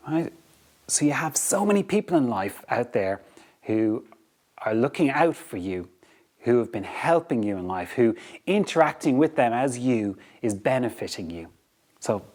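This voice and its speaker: A man's voice: medium pitch, a little mellow. A man with an Irish accent hosting a motivational talk on leadership, earnest and repetitive, often checking in with "okay?". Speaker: podcast host.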